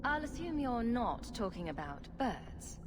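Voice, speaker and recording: A woman's voice: a little high, very animated, unhurried. A woman playing a sarcastic female rogue champion in a video game, with a dry, wry delivery. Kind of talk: video game character acting. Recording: clear background ambient noise.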